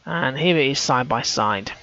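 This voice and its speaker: A man's voice: mid-range voice, animated, normal speed, bright, forceful tone, dull audio. A man talking through on-screen images, casual and hesitant, often restarting his sentences as he thinks aloud. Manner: hesitant, self-correcting, casual. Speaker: amateur researcher.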